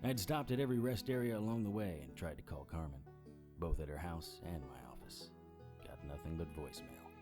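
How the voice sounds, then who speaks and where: A man's voice: deep voice, a little fast, music in the background, natural voice. An actor playing a wry, world-weary private investigator who narrates his own story in a dry, sardonic way. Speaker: narrator and lead. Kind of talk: hardboiled audio drama narration.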